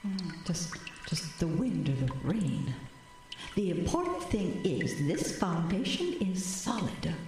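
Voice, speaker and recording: A woman's voice: fairly low pitch, sing-song, slow pace, darkish tone. An actress playing a jittery, stammering woman who talks to herself in a frightened way in an audio drama. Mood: nervous reassurance. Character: jittery, chattering female historical society house enthusiast, alone and frightened. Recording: echoing cellar reverb with music.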